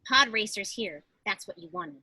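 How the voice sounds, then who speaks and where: A woman's voice: medium pitch, expressive, moderate pace, sharp tone, slightly rough, full character voice, poor audio. A woman playing an eager, defiant young daughter in a script table read over a video call.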